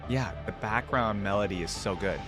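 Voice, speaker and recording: Low-voiced man giving casual reactions. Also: moderate pace, over music. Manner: informal, musing, thinking aloud. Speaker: reaction video host.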